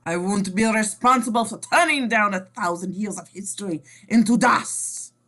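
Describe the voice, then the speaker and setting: A man playing a proud, stubborn Russian general in a script read, declamatory and deliberate. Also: sits high, bright, forceful tone. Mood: indignant.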